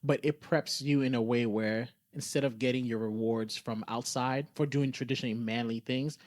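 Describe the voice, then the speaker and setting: A man's voice: mid-range voice, steady tone, normal speed, darkish tone, no room sound, studio quality. A man co-hosting a podcast, talking in a reflective, conversational way, earnestly explaining and thinking aloud. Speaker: podcast co-host.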